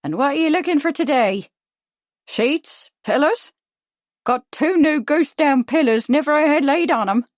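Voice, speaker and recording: A woman narrator acts out a grey-haired landlady from a storybook, keeping a shabby shop. Her voice is high and harsh, with Cockney vowels and some Irish underneath, and she talks in folksy, salty patter. The sound is close and dry. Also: expressive, a little slow, dry recording. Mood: chirpy, eager. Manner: chirpy, folksy sales patter.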